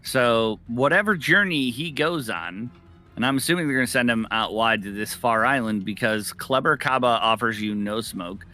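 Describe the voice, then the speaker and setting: A man's voice: medium pitch, moderate pace, sharp tone. A man giving live video game commentary, phrasing emphatically and repeating words for stress. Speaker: esports commentator. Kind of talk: live video game tournament play-by-play and analysis. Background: music.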